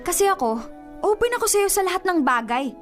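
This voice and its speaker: A young Filipina girlfriend nags and accuses in a radio drama. Her voice is high and very animated, indignant and sulky, with faint music behind. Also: brisk. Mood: suspicious, sulky.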